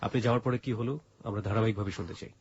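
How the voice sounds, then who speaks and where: A man's voice: fairly low pitch, fairly even intonation, racing, a little mellow, slightly rough, muffled recording. A Bangladeshi man hosting a radio interview, asking a guest probing questions.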